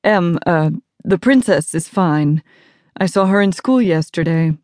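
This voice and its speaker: A woman's voice: lowish voice, lively intonation, unhurried, darkish tone, dry recording, clean studio sound. Woman playing an earnest, defensive teenage boy. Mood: sheepish. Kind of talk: audiobook character dialogue.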